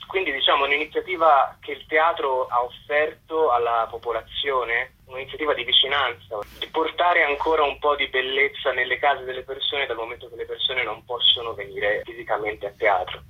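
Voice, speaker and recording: A man's voice: mid-range voice, slightly rough, highs cut off. A man explaining a project as a guest in a radio interview. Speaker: theatre representative, interview guest.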